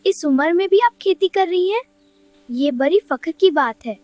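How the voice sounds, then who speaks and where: Woman acting village schoolchild, high voice, polite, inquisitive, children's cartoon, faint music behind. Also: expressive, moderate pace, a little mellow, no room sound. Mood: polite, curious. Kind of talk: scripted children's educational cartoon dialogue.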